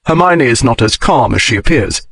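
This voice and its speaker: A man voicing a proud gentleman adventurer who hides his unease, in audiobook dialogue. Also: medium pitch, big pitch swings, normal speed, breathy edge, heavily acted. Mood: stiff, defensive. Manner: clipped, stiff, cool.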